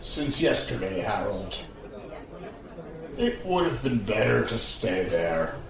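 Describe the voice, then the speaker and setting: An actor playing a blunt, fatalistic, world-weary soldier back from duty in an audio drama. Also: male voice, lowish voice, big pitch swings, takes it slowly, heavily acted. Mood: weary, cynical. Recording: distant, very echoey, crowd noise behind. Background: pub crowd chatter.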